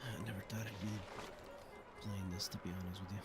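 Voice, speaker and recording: A man's voice: deep voice, flat delivery, a little fast. A man giving casual behind-the-scenes commentary over gameplay, talking as a former developer. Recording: loud game music behind.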